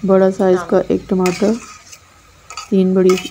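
Pakistani lady doing a recipe voiceover, flat even voice, kinda gravelly, home kitchen how-to. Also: medium pitch, a little slow, a little hiss or hum. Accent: Pakistani Urdu. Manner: plain, repetitive instructional delivery. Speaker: cooking channel host. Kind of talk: step-by-step recipe voice-over.

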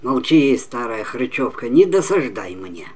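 A man's voice: mid-range voice, big pitch swings, a little slow, a little mellow, breathy edge. An elderly man voicing a troubled, brusque young peasant's son in a storybook tale. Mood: irritated.

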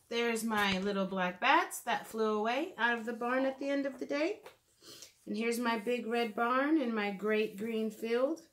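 A woman in her thirties, a children's teacher, talks gently and simply through a drawing lesson, thinking aloud, recorded in a living room by a camera a few feet away. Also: on the high side. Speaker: children's art and reading teacher. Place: living room at home, indoors.

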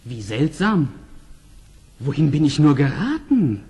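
High, animated young prince. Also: male voice, slow pace, exaggerated voice. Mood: wondering, cautious. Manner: theatrical, declamatory, earnest. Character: young Persian prince, eager, impulsive, pious. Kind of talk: German fairy-tale radio play acting.

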